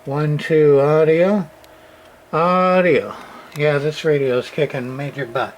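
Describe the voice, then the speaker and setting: Slow-talking man at a radio workbench. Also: a little high, fairly even intonation, a little hiss or hum, rough recording. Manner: mutters test calls and readings. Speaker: radio repairer. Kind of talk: casual workbench commentary.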